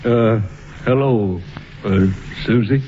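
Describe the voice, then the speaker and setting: An actor playing a bashful, folksy cowboy film star and clumsy suitor, talking in halting, rustic phrases in a radio comedy. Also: male voice, mid-range voice, dark tone, lightly acted. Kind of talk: old-time radio comedy acting. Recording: muffled, noisy old radio recording.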